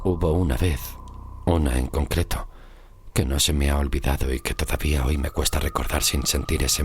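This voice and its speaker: Very deep-voiced Spanish man narrating horror. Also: background noise. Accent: Spain. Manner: slow, grave, measured storytelling. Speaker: narrator of an audio horror story. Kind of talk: scripted dramatic horror narration. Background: music.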